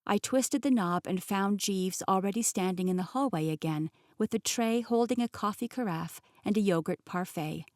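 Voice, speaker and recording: A young adult woman tells a first-person fantasy story in a clear, expressive way. Her pitch sits in the middle of the range. The close studio sound is completely dry. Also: normal speed, hardly any pauses, dry recording. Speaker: audiobook narrator. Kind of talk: first-person audiobook narration.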